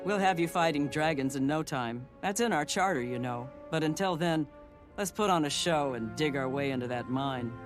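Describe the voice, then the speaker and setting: A man's voice: on the high side, expressive, moderate pace, close to an everyday voice. Man playing a sly, lighter-voiced secret agent. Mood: amused. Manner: wry, smooth-talking, confident. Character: sly, wry, self-assured secret agent. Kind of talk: fantasy video game voice acting. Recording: game music behind the voice.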